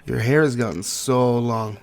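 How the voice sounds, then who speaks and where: A man acting as a grieving, guilt-ridden partner in an intimate audio roleplay, speaking tenderly and haltingly to a sweetheart. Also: mid-range voice. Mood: tender, wistful. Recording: clearly noisy track with ambience.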